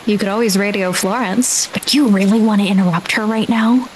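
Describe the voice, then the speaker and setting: Female game master, mid-pitched, vivid deliberate storyteller, clear cricket-like ambience behind her. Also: steady tone, rough recording. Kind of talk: improvised dramatic narration of a tabletop game. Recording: clear ambient noise with insect-like sounds.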